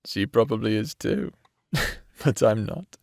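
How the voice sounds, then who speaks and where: Guy doing a cruel teasing vampire villain for audio rp, drawn out slow, voice swings up and down a lot. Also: fairly low pitch, animated, creaky, no room sound, studio quality. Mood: gleefully menacing. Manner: taunting, theatrical, toying with words. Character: sadistic male vampire villain, decades undead, playful and taunting. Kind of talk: dramatic audio roleplay acting.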